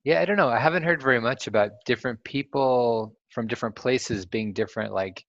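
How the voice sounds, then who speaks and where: A man's voice: medium pitch, fairly quick, fairly bright tone, no echo, muffled recording. A young man hosting a casual chat, asking curious questions and laughing easily. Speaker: host.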